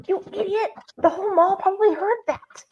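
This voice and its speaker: A woman voicing an irritable male gang leader with a commanding, rough manner in a storybook reading. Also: extremely high pitch, moderate pace, a little mellow, lo-fi sound, voice pushed up. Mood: irritated. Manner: commanding, rough casual speech.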